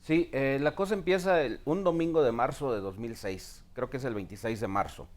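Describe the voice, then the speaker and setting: A man tells a story on a TV talk show in a relaxed, mid-pitched voice. He lays out the events step by step. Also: brisk. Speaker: guest from a human rights center.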